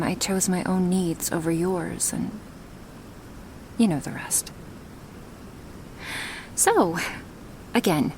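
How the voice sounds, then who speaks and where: A huge, gentle dragoness acted by a woman speaks in a lowish, creaky voice, soft and close as in an ASMR roleplay. Her wording is formal and earnest, with an affectionate, half-whispered delivery. A faint noise sits under the recording. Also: low-quality recording. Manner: slow, intimate, breathy whispering in places, formal wording.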